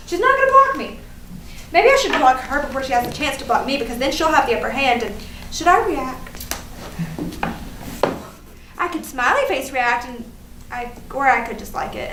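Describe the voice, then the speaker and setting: A woman acting a frantic, melodramatic young woman who rambles and exclaims in a comedic staged reading. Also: high voice. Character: frantic young woman agonizing over social media feud. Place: live staged reading in a room. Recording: roomy, distant mic, faint noise.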